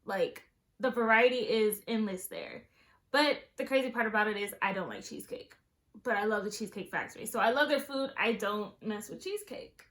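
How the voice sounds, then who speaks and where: A woman's voice: mid-range voice, expressive, a little fast, fairly bright tone, reverberant. Sassy chatty woman in her twenties. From Southern California. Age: 20s. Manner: chatty, sassy, playful asides. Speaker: beauty vlogger. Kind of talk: casual chat.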